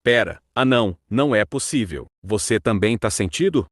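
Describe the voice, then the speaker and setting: A man's voice: on the low side, expressive, brisk. A man playing a dramatic character in a battle, with a Brazilian accent. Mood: dismayed. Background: loud music.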